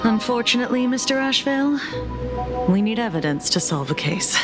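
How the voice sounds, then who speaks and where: A woman playing a rational, detached investigator in a scripted video game, speaking in a measured, dry way. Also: a little high, lively intonation, lightly acted. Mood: detached, reasoning. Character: cool, rational female investigator, detached and legalistic. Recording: studio voice under loud game music. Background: loud game music.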